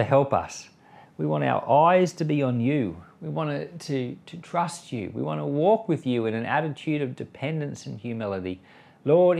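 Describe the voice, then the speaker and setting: A man's voice: mid-range voice, gravelly, a little mellow. A man speaking as a pastor, giving informal Bible commentary and prayer in an earnest, explanatory, conversational way. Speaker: pastor and Bible podcast host.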